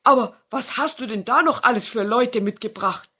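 A woman voicing a big old male fox in a children's tale, loud and barking, pitched high. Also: normal speed, slightly rough. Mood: friendly. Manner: barking, booming.

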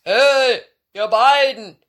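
A huge storybook giant acted out by a woman, bellowing with forceful, loud delivery. He is a hungry, boastful bully who stretches his words out slowly. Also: mid-range voice, lively intonation, heavily acted. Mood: menacing.

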